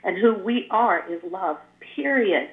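A woman's voice: medium pitch, expressive, measured pace. An older woman from California, a spiritual guest talking earnestly in flowing explanations, her voice a little scratchy after the flu. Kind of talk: casual chat. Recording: tinny phone-like line, echoey room.

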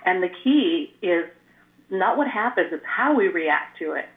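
A woman's voice: on the low side, lively intonation, a little slow. An older woman from California, a spiritual guest talking earnestly in flowing explanations, her voice a little scratchy after the flu. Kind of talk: casual chat. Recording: tinny phone-like line, echoey room.

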